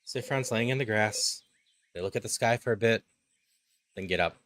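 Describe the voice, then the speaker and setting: A man's voice: on the low side, normal speed, fairly bright tone, a little hiss or hum, close to an everyday voice. A man reading as the storyteller of a wistful fantasy video game, in scripted narration. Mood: subdued, ominous. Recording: game music under the voice.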